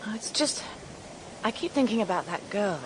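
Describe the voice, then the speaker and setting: An actress playing an earnest, quick-witted young woman in a radio drama. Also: mid-range voice, animated, close to an everyday voice. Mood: troubled. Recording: noisy radio drama soundscape.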